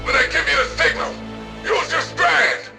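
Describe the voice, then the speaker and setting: A man's voice: very high-pitched, normal speed, vocal fry, over music, exaggerated voice. A man playing a resolute, self-sacrificing armored guardian in a video game, urgent and commanding. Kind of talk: dramatic video game acting.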